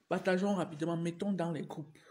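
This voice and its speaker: Woman, adult teacher, has a cold, clears her throat; slow, repetitive live-stream pleas. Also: fairly low pitch, warm tone. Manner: repetitive, urging viewers over and over. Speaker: teacher livestreaming to followers. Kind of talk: casual live-stream address.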